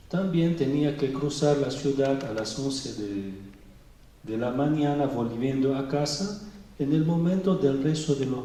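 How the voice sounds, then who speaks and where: A middle-aged man from Venezuela speaking slowly and thoughtfully at a public talk, pausing often. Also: mid-range voice, dark tone, slight background noise. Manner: slow and thoughtful, with pauses and fillers. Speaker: Orthodox bishop giving a talk. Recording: echoey hall, mic far off.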